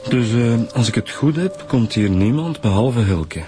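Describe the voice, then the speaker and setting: An actor playing a down-to-earth Flemish police detective in a radio drama, questioning carefully with small hesitations. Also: male voice, medium pitch, animated, normal speed, lightly acted. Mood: probing, polite. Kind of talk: naturalistic radio drama acting.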